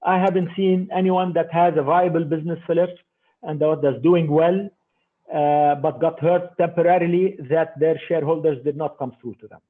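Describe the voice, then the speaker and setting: A man's voice: on the high side, fairly even intonation, moderate pace. An older man speaking as an investor guest in an interview, emphatic and repeating his words, with many fillers. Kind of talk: interview over a remote call. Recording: tinny phone-like call audio.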